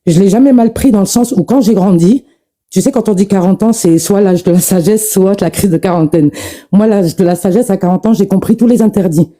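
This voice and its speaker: A woman's voice: medium pitch, dark tone. Breathless woman arguing insistently on a call. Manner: insistent, repetitive, stumbling restarts. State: out of breath from exercise. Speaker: live stream caller. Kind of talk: heated debate monologue.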